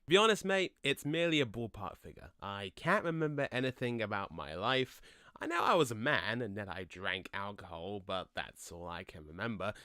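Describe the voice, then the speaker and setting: A man playing a laid-back but quick-tempered, cocky ghost in an audio drama, with a brash, slangy, matey way of talking. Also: lowish voice, lively intonation, sharp tone, smooth, dry recording, studio quality. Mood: relaxed, reflective. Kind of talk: conversational audio drama acting.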